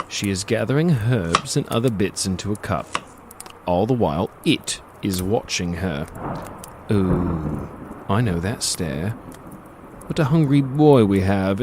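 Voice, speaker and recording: An actor playing a gloating predatory monster who narrates a dark fantasy audio drama in a theatrical, savoring, sardonic manner. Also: male voice, sits low, background noise. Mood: ominous, savoring, then sardonic, intrigued.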